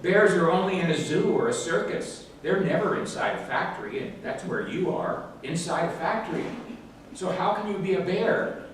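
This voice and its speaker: A man's voice: high-pitched, moderate pace, pitched above the performer's own voice. A man playing a smug company president. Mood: condescending. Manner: calm and condescending. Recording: echoey room from far off, faint hiss.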